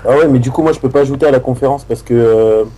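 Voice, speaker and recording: A man's voice: medium pitch, quick pace, warm tone, poor audio. A young man in a casual chat on an internet call, rambling and slangy as he talks. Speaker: prank caller's friend. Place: Skype call. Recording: faint background noise on internet call.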